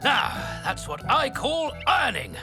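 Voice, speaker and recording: A man's voice: very high-pitched, huge pitch swings, unhurried, gravelly, over loud music, exaggerated voice. An actor playing a jolly, nostalgic old grandfather zebra with an easygoing storyteller's manner in a children's cartoon. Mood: cheerful. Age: older.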